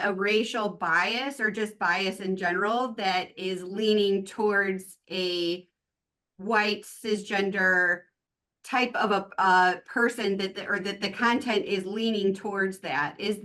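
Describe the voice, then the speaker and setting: Midwestern woman on a call, bright tense voice, rambly question with lots of restarts. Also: medium pitch. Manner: rambling and self-correcting, with many restarts. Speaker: attendee asking questions. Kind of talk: casual question on a video call.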